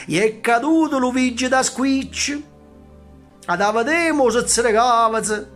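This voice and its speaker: A man voicing an amused middle-aged gentleman who mutters to himself in dialect from his balcony. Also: very high-pitched, slow, faint music behind, pitched above the performer's own voice, full character voice.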